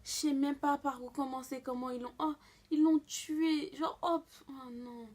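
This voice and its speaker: Young woman, high-pitched, slangy. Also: steady tone, a little slow, a little mellow. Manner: slangy, exclamatory. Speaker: vlogger reacting to a cartoon. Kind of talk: casual reaction chat.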